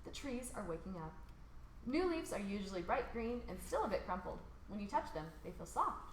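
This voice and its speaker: American lady reading a kids book out loud, bright expressive voice, echoey room w a bit of noise. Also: mid-range voice, moderate pace. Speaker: host of a children's read-along. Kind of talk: picture book read aloud for children. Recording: roomy echo, faint background noise.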